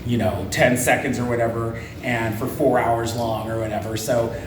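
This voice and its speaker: A voice actor, a man, gives earnest advice at a convention panel. He is heard from a distance in a roomy space, with a steady noise bed behind him. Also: medium pitch, moderate pace. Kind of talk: panel interview answer. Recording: echoey room, from afar, noisy.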